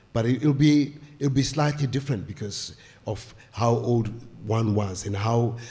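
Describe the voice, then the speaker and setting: An older man, a guest author on a stage panel, speaking haltingly with many fillers into a stand mic. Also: mid-range voice, dark tone. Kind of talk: panel discussion. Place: stage of a small indoor venue.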